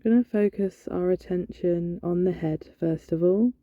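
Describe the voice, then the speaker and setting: A woman leading a guided body scan meditation in a gentle, soothing, instructional manner. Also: fairly low pitch, moderate pace. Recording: dull, lo-fi, roomy echo.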